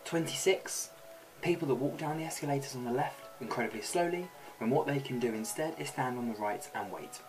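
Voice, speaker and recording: A man's voice: mid-range voice, a little fast, low-quality recording. A young man hosting a comedic numbered list to camera in a small bedroom. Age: young adult. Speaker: video host. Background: music.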